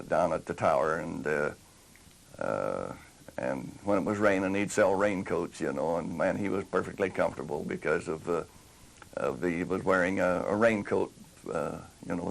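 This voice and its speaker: An older man casually reminiscing as an interviewee, halting and hesitant as he talks. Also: sits low, creaky. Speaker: interviewee recalling local history.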